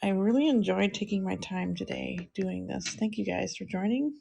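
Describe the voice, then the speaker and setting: A middle-aged woman chatting softly and unhurriedly with viewers while she draws on a livestream. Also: medium pitch, normal speed, gravelly, breathy edge. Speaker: live-streaming artist. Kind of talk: casual livestream chat while drawing.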